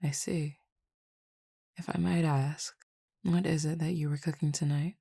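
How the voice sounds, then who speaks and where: A woman voicing a low, gravelly, teasing cupid. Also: fairly even intonation, no echo, clean studio sound, full character voice. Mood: languid teasing. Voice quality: formal, measured and quietly teasing. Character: calm, teasing cupid roommate who feeds on desire. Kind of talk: audio roleplay addressed to the listener.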